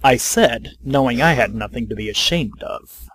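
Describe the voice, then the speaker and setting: Man narrating a story, medium pitch. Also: animated, moderate pace, fairly bright tone, slightly rough, low-quality recording.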